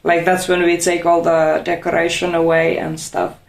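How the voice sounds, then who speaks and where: A woman's voice: lowish voice, monotone, moderate pace, creaky. A woman in her twenties with a Finnish accent, giving casual interview answers. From Finland. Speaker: interviewed volunteer. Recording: echoey room.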